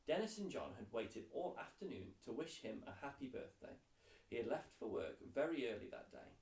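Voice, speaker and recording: A man reads a children's story aloud in a creaky, fairly low voice. The sound is roomy and set back from the microphone, with a clear echo around each phrase. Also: darkish tone. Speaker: teacher reading a children's novel aloud. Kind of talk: scripted storybook narration. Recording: roomy echo, distant mic.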